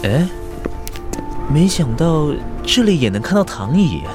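A man's voice: on the high side, sing-song, measured pace, over music. A man acting in a Chinese audio drama as a cool, courteous and composed male doctor. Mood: playful, eerie calm. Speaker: voice actor.

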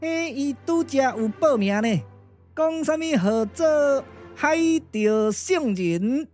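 A man's voice: very high-pitched, animated, slow, a little mellow, faint music behind, heavily acted. A man with a Taiwanese accent playing a flustered, dim-witted young messenger who stammers and repeats himself, in comic puppet-theatre radio drama. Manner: stammering, repetitive, panicky.

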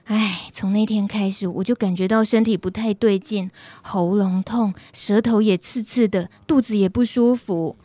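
A woman's voice: medium pitch, steady tone, normal speed, dark tone, no echo, close to an everyday voice. A woman voicing a worried young shaved-ice seller in a radio skit, with a Taiwanese Mandarin accent, confiding anxiously. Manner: anxious, confiding first-person storytelling.

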